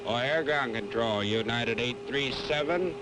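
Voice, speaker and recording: An actor playing a businesslike airline co-pilot, making clipped procedural radio calls. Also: male voice, medium pitch, a little slow, lightly acted. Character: businesslike male airline co-pilot calling the tower. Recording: old film soundtrack, clear vehicle noise.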